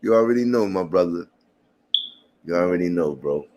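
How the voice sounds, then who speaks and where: A man, chatting casually to passersby and viewers in a relaxed morning vlog, calling everyone brother and man. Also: low-pitched, normal speed, slight background noise. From Puerto Rican family. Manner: laid-back, slangy, calls people brother and man. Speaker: vlogger.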